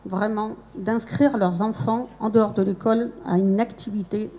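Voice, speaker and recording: A woman's voice: medium pitch, steady tone, moderate pace, warm tone. A woman speaking as a parent at a school event, hesitant and sincere, with many fillers.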